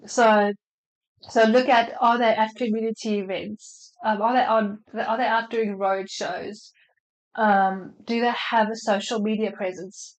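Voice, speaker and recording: Woman, under the weather with a flu, loose chatty advice over a video call, mid-pitched. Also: low-quality recording. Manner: chatty, loose, lots of fillers and restarts. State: slightly unwell with a flu. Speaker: marketing expert answering audience questions. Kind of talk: casual live Q&A on a call.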